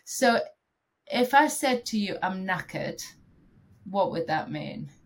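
A woman's voice: medium pitch, expressive, slow pace. A British woman guest with a head cold that makes her sound nasal, playfully quizzing her hosts on slang in a podcast chat. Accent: British English. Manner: playful, teasing with slang quiz. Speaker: guest, regional sales executive. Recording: faint background noise.

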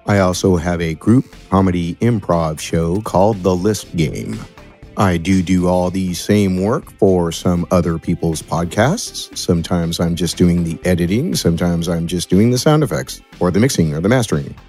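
American man, low-pitched voice, audio producer, explanatory interview answers, faint music behind. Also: normal speed, darkish tone. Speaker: audio producer and sound designer, podcast guest.

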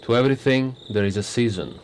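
A man's voice: mid-range voice, quick pace, darkish tone, slight background noise, natural voice. An actor playing a blunt, self-satisfied prime minister in his sixties, wry and teasing, in a radio drama. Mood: self-assured. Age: 60s. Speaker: politician character.

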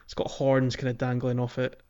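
A man's voice: medium pitch, completely flat delivery, a little fast, darkish tone, clear, resonant tone. A man hosting a podcast in a casual, hedging, conversational way about video games. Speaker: podcast host. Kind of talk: casual video game discussion. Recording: dull lo-fi microphone.

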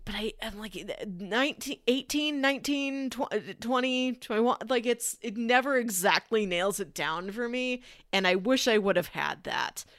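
A woman's voice: a little high, unhurried, hardly any pauses, a bit bright, no echo, studio quality. A woman in a casual podcast review chat, tossing in asides and imagined quotes. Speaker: podcast host reviewing anime.

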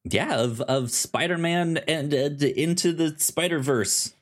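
A man co-hosting a casual review podcast, talking haltingly and often repeating the starts of his words. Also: a little high. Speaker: podcast co-host.

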